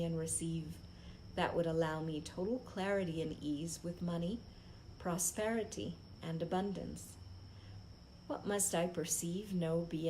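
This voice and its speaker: A woman reading a self-help book aloud as an impromptu live read-along host, phrasing it in short, deliberate groups. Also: fairly low pitch, dark tone, a little hiss or hum, low-quality recording.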